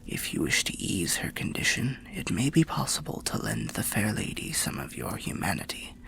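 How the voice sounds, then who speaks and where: A man voicing an old undead soul telling fantasy tales in a musing, unhurried ASMR roleplay manner, low, gravelly and half-whispered. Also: huge pitch swings, fairly bright tone, exaggerated voice. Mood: helpful, musing. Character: old undead soul, genial storyteller by a bonfire.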